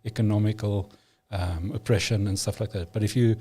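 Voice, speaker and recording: Man, Afrikaans speaker, mellow tone, emphatic, opinionated, podcast guest. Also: on the low side, steady tone, fairly quick, husky. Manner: emphatic, opinionated, frequent restarts. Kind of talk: casual conversation.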